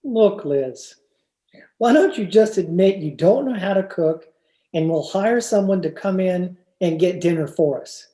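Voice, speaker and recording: A man's voice: high voice, lively intonation, a little slow, dark tone. A man playing an exasperated, skeptical husband with a dry, sardonic manner in an old-time radio comedy. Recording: roomy, echoing home recording with noise.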